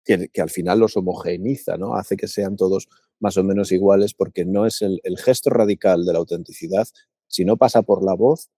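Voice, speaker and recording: A man from Paraguay co-hosting a podcast, thinking aloud in a relaxed, reflective chat with frequent restarts. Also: deep voice, moderate pace, no room sound, clean studio sound. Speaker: podcast co-host. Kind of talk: casual reflective conversation.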